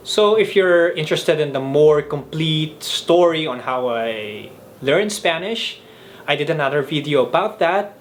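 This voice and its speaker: A young man from the Philippines, Filipino his first language, telling in a measured, earnest way how he learned languages on his own. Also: a little high, a little hiss or hum. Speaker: language vlogger. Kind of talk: scripted vlog narration.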